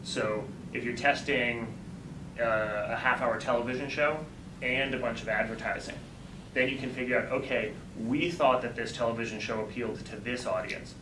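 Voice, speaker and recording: A man pitches his startup to a live audience, talking through his ideas in a relaxed, explanatory way and often correcting himself mid-sentence. His voice sits at an ordinary pitch. He sounds distant, in a reverberant room with steady background noise. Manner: explanatory, conversational, quick self-corrections. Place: event room. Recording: echoey, distant, noisy room.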